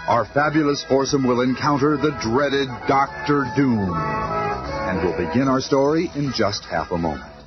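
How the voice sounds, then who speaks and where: A man's voice: mid-range voice, expressive, unhurried. A man announcing a radio serial in grand 1970s style. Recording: muffled old radio recording under loud music.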